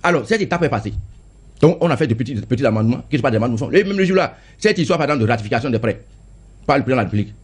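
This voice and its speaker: A man's voice: medium pitch, animated, rapid-fire, breathy edge. A man, a member of parliament arguing in a heated political debate, insistent and often repeating and stammering on words.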